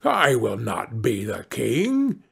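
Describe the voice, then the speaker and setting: A man's voice: mid-range voice, wildly swooping pitch, slow pace, vocal fry, slightly rough, voice pushed down, heavily acted. A man voicing a meek, worried, henpecked fisherman in a fairy-tale audiobook, with a pleading, plaintive manner.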